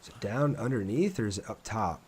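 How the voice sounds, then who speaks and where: A man's voice: fairly low pitch, lively intonation, fairly quick, darkish tone, slight background noise. A man live streaming a game, thinking aloud in an informal way and chatting casually with viewers as he plays.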